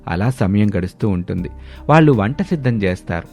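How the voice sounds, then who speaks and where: A man narrating an animated moral tale as a scripted storyteller. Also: on the low side, fairly quick, faint music behind.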